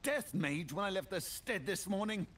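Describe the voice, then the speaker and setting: High-pitched, flustered guard. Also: male voice, animated, normal speed, heavy background noise. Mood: shaken. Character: frightened, flustered male guard. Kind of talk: fantasy game dialogue.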